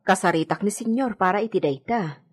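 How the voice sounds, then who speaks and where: Husky, expressive Filipino village woman. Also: medium pitch, brisk. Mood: deferential. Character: deferential, practical village woman, loyal household worker. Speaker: radio drama actor. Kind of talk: radio drama acting.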